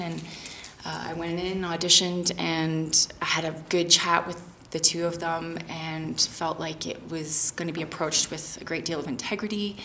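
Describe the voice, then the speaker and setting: Appreciative woman, gravelly low voice. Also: fairly bright tone, slight background noise. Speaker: theatre actor. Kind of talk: interview.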